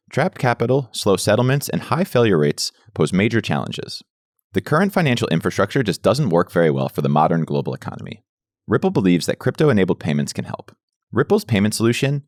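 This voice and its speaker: Male podcast host, very deep voice with lots of melodic swing, reading scripted copy over clear background music. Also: no echo, clean studio sound. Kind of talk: scripted intro and ad read.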